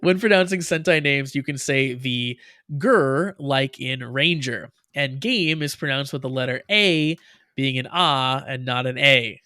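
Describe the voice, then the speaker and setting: A man's voice: mid-range voice, bright, forceful tone, dry recording, studio quality. A man with an American accent co-hosting a casual show review, rambling with lots of fillers and frequent laughs. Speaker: podcast co-host. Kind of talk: casual chat.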